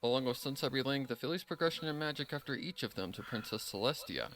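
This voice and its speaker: A man's voice: mid-range voice, lively intonation, quick pace, a bit bright, a little hiss or hum. A man narrating a dramatic story from a script as the storyteller.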